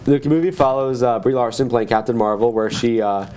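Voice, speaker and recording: Fast-talking man in casual film chat. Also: medium pitch, darkish tone, a little hiss or hum. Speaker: film podcast co-host.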